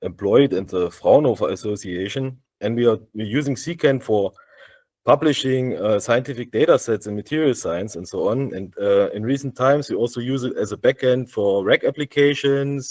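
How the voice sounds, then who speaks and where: A man with a German accent presenting a software demo over a video call, speaking haltingly with many fillers and restarts. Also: medium pitch, no echo. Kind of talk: live screen-share demo on a video call.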